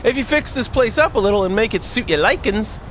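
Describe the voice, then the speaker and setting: A man voicing a kind, encouraging stranger in a visual novel, read in a gentle, coaxing, conversational way. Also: high-pitched, expressive, fairly quick, over music, voice pushed up. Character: kind, encouraging stranger sheltering from a winter storm.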